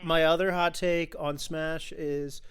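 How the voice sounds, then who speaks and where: A man with a fairly high, clean and resonant voice hosts a film podcast. He chats casually, with enthusiasm and firm opinions. The room at home sounds echoey. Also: flat delivery, slow pace, a bit bright, clear, resonant tone. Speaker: podcast host.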